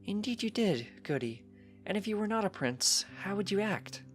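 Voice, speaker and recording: A narrator performs a gracious storybook pony princess in a raised, high voice. She is gentle and encouraging, with formal, measured phrasing over music. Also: big pitch swings, close to an everyday voice.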